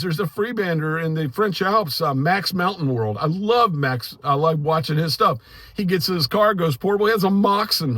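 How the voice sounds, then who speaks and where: This is a middle-aged American man with a notably high voice for a male speaker. He talks to viewers like a hobbyist YouTuber, informal, opinionated and emphatic, wandering from point to point. The sound is dry, with very little room in it. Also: fairly bright tone, dry recording. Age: middle-aged or older. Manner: informal, emphatic, rambling with "you know" and "man". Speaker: ham radio YouTuber.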